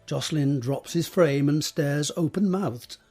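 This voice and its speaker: Male narrator, slightly high husky voice, measured scripted delivery, deliberate pauses, faint music behind. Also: dark tone. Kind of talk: scripted narration of screenplay directions.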